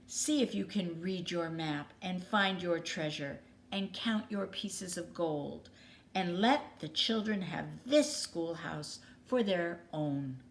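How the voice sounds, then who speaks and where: Calm, measured woman schoolteacher, read by storyteller. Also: lowish voice. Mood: instructive. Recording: clearly noisy background.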